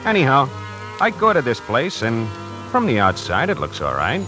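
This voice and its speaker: A streetwise young tie salesman tells his tale in a tough, slangy, nervous way. His voice leaps up and down in pitch. It is a 1940s radio drama, an old recording with music playing under it. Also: huge pitch swings, normal speed. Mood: uneasy. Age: young adult. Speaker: lead actor narrating.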